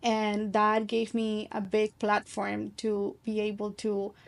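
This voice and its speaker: A middle-aged woman from Colombia speaks as a guest on an interview podcast. She talks in an earnest, reflective way, often restarting her sentences as she thinks. Her voice sits at an ordinary mid pitch. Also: completely flat delivery, takes it slowly, creaky.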